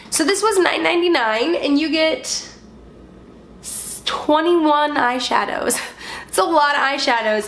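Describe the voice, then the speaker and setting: A woman's voice: on the high side, a bit bright. A young woman chatting casually through a product review, her voice stuffy and partly gone from a cold, with lots of filler words. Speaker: beauty vlogger.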